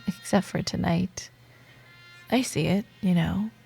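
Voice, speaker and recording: A woman playing a sardonic, secretly soft female office rival in a romantic audio roleplay, teasing and expressive, with dramatic pauses. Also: on the low side, creaky, soft background music. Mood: teasing, flirty.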